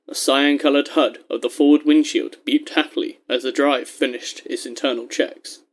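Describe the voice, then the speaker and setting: A man with a medium-pitched voice narrates an audio story. He reads scripted prose in a measured way, setting each scene with descriptive care. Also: fairly even intonation.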